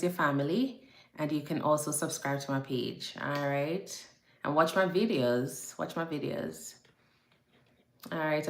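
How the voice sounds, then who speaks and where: A woman from Jamaica with a low voice chats casually on a livestream, greeting viewers by name and repeating herself often. She is a nurse. Her voice carries the echo of a room. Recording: echoey room.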